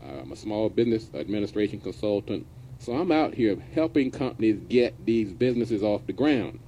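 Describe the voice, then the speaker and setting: A man's voice: on the low side, big pitch swings, fairly quick, mellow, slight background noise, low-quality recording. A middle-aged man hosting a business radio show, talking in a folksy, casual way and now and then dropping verbs. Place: radio studio.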